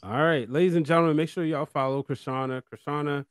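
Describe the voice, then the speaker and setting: A man's voice: a little high, fairly even intonation, fast talker, no room sound, clean studio sound. An American man hosting a podcast in a casual, informal interview style. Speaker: podcast host.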